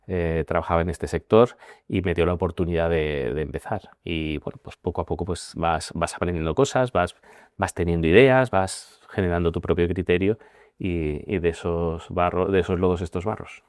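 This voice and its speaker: A man in his forties from Spain, a guest telling his career story in a measured, reflective way with frequent pauses and fillers. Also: low voice, darkish tone, dry recording, clean studio sound. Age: 40s. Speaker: guest, managing partner. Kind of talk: conversational interview.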